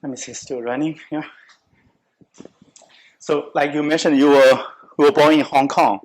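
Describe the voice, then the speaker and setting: A man hosting a casual chat over a meal at a restaurant table, talking in halting phrases with many restarts. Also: on the high side, steady tone. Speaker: host.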